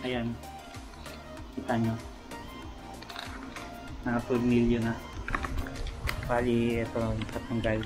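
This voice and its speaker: A Filipino man speaks in a mid-range voice, chatting informally to his viewers as he works with his hands. He thinks aloud in short, broken phrases, like a casual tutorial. Loud music plays behind him throughout. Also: mid-range voice. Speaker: motovlogger showing a helmet modification.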